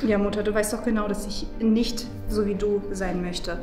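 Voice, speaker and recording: A young woman playing a gloomy, emotionless teenage girl in a scripted parody, flat and disdainful. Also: medium pitch, normal speed, reverberant, over music, natural voice. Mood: dismissive. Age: young adult. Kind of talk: scripted web parody acting.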